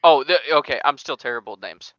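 A young man with a smooth, lively voice talks in casual banter. He pokes fun at himself. The audio is close and dry, a little dulled as on an online call. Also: mid-range voice, fast, no echo, muffled recording. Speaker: podcast panelist. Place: dim bedroom gaming setup, indoors. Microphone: headset on an online call.

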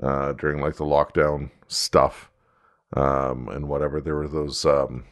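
A man in a casual fan discussion as a podcast host, halting and circling back as he explains. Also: extremely low pitch, level tone.

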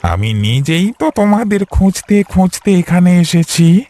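A man voicing a stern, ominous village caretaker in a horror cartoon, speaking gravely. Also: high voice, very animated, warm tone, soft background music. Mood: grave warning. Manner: slow, grave, warning.

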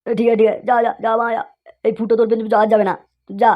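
A woman's voice: on the high side, flat delivery, racing, close to an everyday voice. A woman voicing a hot-tempered, exasperated young cartoon husband who scolds and blusters. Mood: fed up. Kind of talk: comic cartoon dialogue. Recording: dull lo-fi sound.

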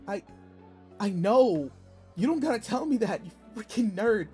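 A man's voice: high voice, a little mellow. A man playing a brusque, anxious young student bully who secretly cares, in an audio roleplay drama with emotional delivery.

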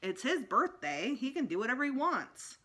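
Storybook grandmother, in charge of little kids, lively and bright, read by a woman. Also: sits high, moderate pace, voice pushed up, heavily acted. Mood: indulgent. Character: indulgent grandmother minding small children at a party.

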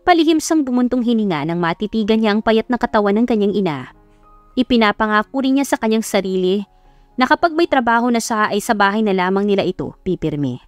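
A woman's voice: medium pitch, expressive, fast talker, no echo, faint music behind. A woman with a Filipino accent reading a scripted story as an audiobook narrator.

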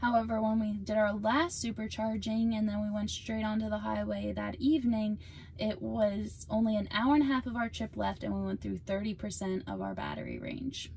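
Young woman with a gravelly, chatty voice. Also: mid-range voice, expressive, moderate pace, darkish tone, a little hiss or hum. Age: young adult. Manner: chatty, upbeat explaining. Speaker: vlog co-host. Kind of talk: casual vlog talk. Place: inside a parked car. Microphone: phone camera at arm's length.

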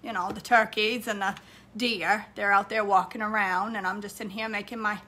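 A woman doing an exaggerated impression of a rural Maine woman, speaking in a loud, drawling, folksy Maine accent. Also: mid-range voice, steady tone, moderate pace. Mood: hammy.

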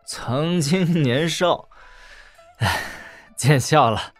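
A man playing a sly, understated young lord in a Chinese martial arts audio drama, with drawn-out, unhurried phrasing. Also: fairly high pitch, no echo, faint music behind.

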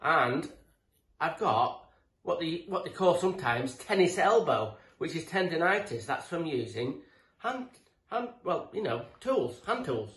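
A man's voice: a little high, very animated, unhurried, fairly bright tone. A British man in his forties speaking casually and chattily to camera as a tradesman giving informal, rambling tool tips. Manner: chatty, rambling, matey, mildly sweary. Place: living room, indoors. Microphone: camera a few feet away. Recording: echoey room, distant mic, background noise.

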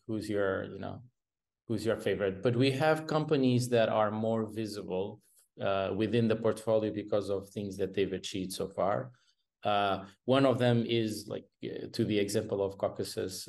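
Low-voiced man on a video call. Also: vocal fry, a little mellow. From Portugal. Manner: hesitant, many restarts and fillers. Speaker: venture capital guest. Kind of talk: interview over video call. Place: home room with painting behind.

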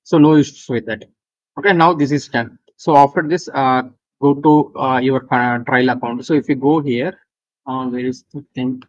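A man with an Indian accent presenting an unscripted software walkthrough, halting and repetitive as he gives step-by-step instructions. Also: medium pitch, steady tone. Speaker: tutorial presenter. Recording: dull lo-fi voice recording.

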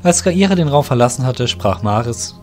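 Casting: male storyteller for fantasy radio play, mid-range voice, soft music underneath. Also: medium pitch, expressive, normal speed. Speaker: narrator. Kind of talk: scripted audio drama narration.

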